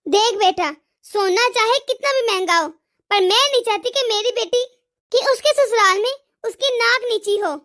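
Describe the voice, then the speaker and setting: A woman voicing a scolding, sarcastic, demanding mother-in-law in an animated family drama. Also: very high voice, normal speed. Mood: insistent. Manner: nagging, sarcastic. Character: scolding, demanding mother-in-law, fiercely partial to her daughter.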